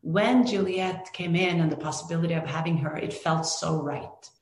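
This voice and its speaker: A woman's voice: fairly low pitch, normal speed. A Norwegian woman, a film director, answering interview questions thoughtfully with many restarts and fillers. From Norway. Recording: echoey room.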